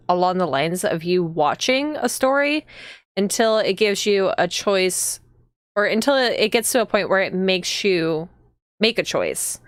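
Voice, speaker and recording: A woman's voice: medium pitch, no room sound, clean studio sound. A woman chatting casually to viewers as she hosts a live game stream. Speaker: streamer and host.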